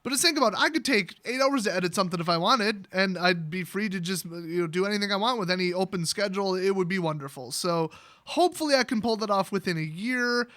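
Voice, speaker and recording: A man's voice: high-pitched, quick pace. An American man telling a personal story in a casual, rambling way, with a sarcastic, jokey delivery. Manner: sarcastic, animated, jokey exaggeration.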